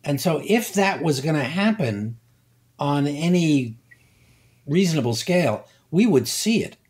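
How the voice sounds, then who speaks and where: Slow, deliberate older man explaining. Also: fairly high pitch, animated, darkish tone. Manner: slow, deliberate, many hesitations. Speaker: guest scientist. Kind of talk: conversational science interview.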